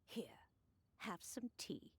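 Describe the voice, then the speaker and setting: A woman voicing a wise, serene elderly lady with a courteous, measured manner in a video game. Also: dry recording, lightly acted. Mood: gentle, reassuring. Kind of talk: animated video game acting.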